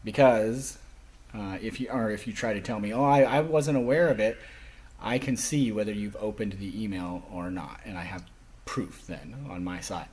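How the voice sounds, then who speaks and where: A man voicing a classroom lecture as an interpreter, speaking emphatically in halting phrases with stressed key words. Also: lowish voice, darkish tone, breathy edge.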